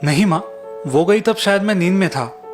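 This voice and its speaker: Kind young husband, voiced by a man, slightly high voice, earnest, gentle, cartoon, background music. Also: expressive, moderate pace. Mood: puzzled. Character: kind, supportive young husband, a humble rickshaw driver. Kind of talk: Hindi moral-story cartoon dubbing.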